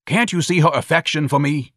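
A man's voice: a little high, a little fast, a bit bright, no room sound, studio quality, pitched above the performer's own voice. A man voicing a pompous, huge thirty-year-old mama's boy who speaks in a florid, condescending way.

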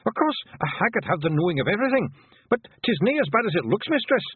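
A man's voice: a little high, expressive, fairly quick, breathy edge, no echo, pitched above the performer's own voice. A man voicing a small, nervous male pixie pilot with a Scottish accent, jittery and apologetic. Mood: anxious. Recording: tinny narrow-band audio.

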